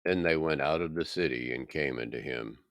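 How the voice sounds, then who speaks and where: A man reading scripture aloud in a solemn, measured and dramatic way, with deliberate pauses. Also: rumbling low, fairly bright tone, no echo. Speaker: scripture reader.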